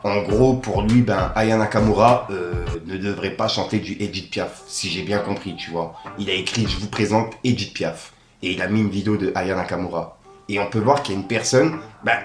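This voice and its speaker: Chatty low-voiced young man, echoey room. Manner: chatty, many fillers like "en gros" and "tu vois". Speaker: host of a rap news channel. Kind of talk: casual news roundup.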